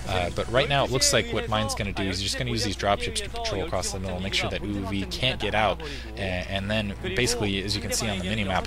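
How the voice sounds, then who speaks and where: A hoarse young man giving halting solo play-by-play commentary on a video game match, full of fillers and restarts. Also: low voice. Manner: halting, many fillers and restarts, excitable bursts. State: hoarse, losing his voice. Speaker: esports commentator. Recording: loud music behind the voice. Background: loud background music.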